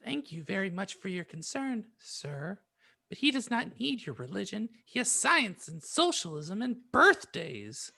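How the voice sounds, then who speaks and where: A man voicing a smug, preachy middle-aged aunt, sickly sweet and condescending, with a raspy, screechy edge in an audiobook parody. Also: sits high, sing-song, unhurried, bright, forceful tone, pitched above the performer's own voice, exaggerated voice.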